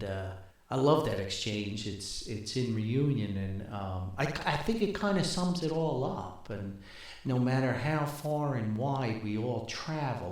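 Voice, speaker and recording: A man's voice: mid-range voice, darkish tone. Older male podcast host, folksy and rambling, nostalgic monologue, very reverberant recording. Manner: folksy, rambling, halting with repeated words.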